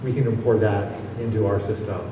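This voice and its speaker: A man speaks in a conference panel, his voice distant and caught in a big echoey hall. He rambles through his answer, restarting phrases and chuckling now and then. A steady wash of room noise sits under him. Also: fairly low pitch, a little fast. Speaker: weather service forecaster on a panel. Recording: echoey hall from far off, noisy.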